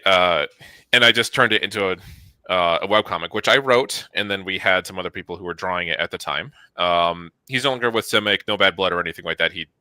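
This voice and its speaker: A man's voice: low-pitched, bright, forceful tone, no room sound. A middle-aged man explaining his work as an interview guest, informal and enthusiastic. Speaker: interview guest, studio manager. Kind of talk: casual explanatory chat.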